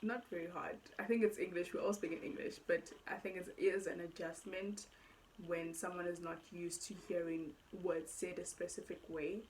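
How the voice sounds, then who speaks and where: A woman's voice: lowish voice, fairly even intonation, moderate pace, slight background noise, rough recording. A woman in her twenties from Nigeria with an accent that blends Nigerian and South African, casually telling her own story as a vlogger, chatty and often correcting herself mid-thought. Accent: mixed Nigerian and South African. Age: 20s. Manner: chatty, self-correcting, thinks aloud.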